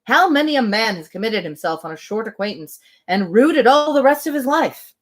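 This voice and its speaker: A woman's voice: on the high side, sing-song, normal speed. A woman hosting a novel read-aloud, moving between reading and casual, thoughtful commentary in which she often interrupts herself.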